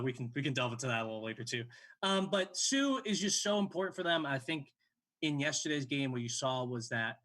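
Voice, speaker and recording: Bright-voiced young man talking sports. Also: mid-range voice. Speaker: sports show host. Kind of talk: casual sports analysis.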